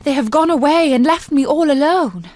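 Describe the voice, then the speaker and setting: An innocent young storybook maiden, acted by a woman, speaks in a high, plaintive voice. She is earnest and pleading, with music behind her. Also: normal speed, darkish tone, dry recording, close to an everyday voice. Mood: sorrowful. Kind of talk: storybook character voice.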